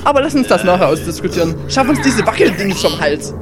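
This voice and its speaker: A man playing an excitable, cheeky young hero in an amateur German audio drama. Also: sits high, fast talker, music in the background. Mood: urgent. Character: excitable cheeky young hero bantering with his friends in battle.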